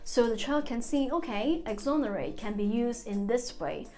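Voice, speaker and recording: Woman, 30s, Cantonese first language, fairly high voice, teaching parents from an office desk over faint music. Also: lively intonation, normal speed, dark tone. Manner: explanatory, lists numbered points with examples. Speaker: literacy researcher. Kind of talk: educational talk to parents. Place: office with bookshelves, indoors.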